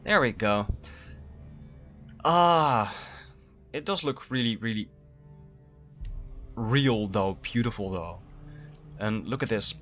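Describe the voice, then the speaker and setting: Male streamer, low voice, loose chatty delivery, muffled stream audio. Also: animated, slow, pauses often, a bit bright, soft background music. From Netherlands. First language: Dutch. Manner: chatty, rambling with restarts. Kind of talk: live gameplay commentary.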